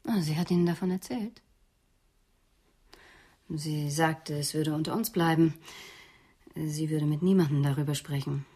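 An actress playing a guarded, self-justifying psychoanalyst in a radio crime drama, speaking carefully and formally. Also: female voice, low-pitched, unhurried, pauses often, dark tone, slightly rough. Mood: defensive.

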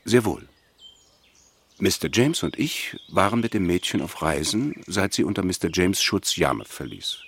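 A scoundrel manservant for a radio play, servile on the surface and cold underneath. His low voice has a rough, husky grain. He speaks formally and deferentially, with a sly swing in pitch. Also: lively intonation, moderate pace, a bit bright, full character voice. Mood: servile, smug. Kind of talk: German radio play acting.

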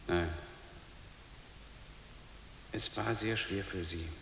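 A low, creaky-voiced, troubled father in a radio drama. He speaks haltingly and pleads to keep his faith. The sound is hissy and rings in a large echoing hall. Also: steady tone, fairly bright tone, exaggerated voice. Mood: troubled, uncertain. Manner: halting, repeats himself, pleading. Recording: hissy, echoey reverberant hall.

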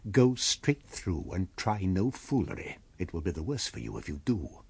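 A domineering invisible villain from a storybook, voiced by a man with a low, rough-edged tone. He speaks tersely and with menace, giving orders close to the listener in a dry recording. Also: sing-song, moderate pace, no room sound, full character voice. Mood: impatient, commanding. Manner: commanding, threatening, terse.

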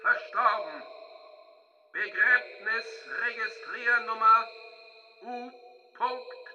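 A stout cemetery caretaker, blaring through a megaphone in a very high, forceful voice. He chants his notices extremely slowly, far off in long reverb, like a stormy official of a radio play. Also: full character voice. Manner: slow, chanting official announcement, syllable by syllable. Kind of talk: radio drama acting. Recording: dull, distant, long reverb.

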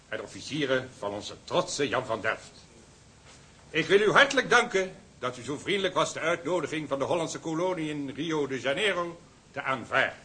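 An actor playing a pompous, jovial middle-aged consul, giving a florid ceremonial speech in a period drama. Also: male voice, medium pitch, bright, forceful tone, slight background noise. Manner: bombastic, florid oratory. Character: pompous, jovial colonial consul making a grand toast.

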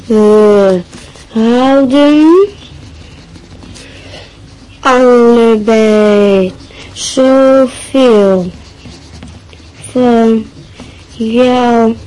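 A woman's voice: a little high, mellow, rough recording. A woman voicing an earnest, lovestruck young schoolboy in a radio play, spelling out a letter word by word. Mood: dictating. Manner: slow, word-by-word dictating. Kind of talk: radio drama acting.

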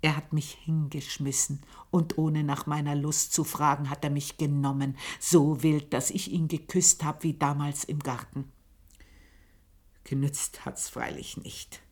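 A bitter old housemaid. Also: female voice, low voice, steady tone, measured pace, breathy edge, exaggerated voice. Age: older. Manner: dramatic, swings between loud outbursts and hushed whispers. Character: aging housemaid, bitter and brooding storyteller. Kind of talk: radio play monologue.

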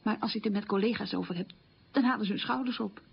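An actress playing an opinionated, weary middle-aged schoolteacher who confides frankly in a conversational radio monologue. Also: female voice, medium pitch, quick pace, vocal fry, breathy edge, dull audio. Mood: indignant. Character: opinionated, weary schoolteacher mother marked by the war.

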